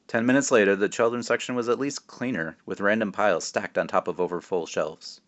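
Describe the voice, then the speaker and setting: Male author-reader for a children's novel, lowish voice, expressive and wry, drops in offhand asides. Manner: expressive, self-interrupting, wry. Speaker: author reading aloud. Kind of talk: animated children's book read-aloud with casual asides.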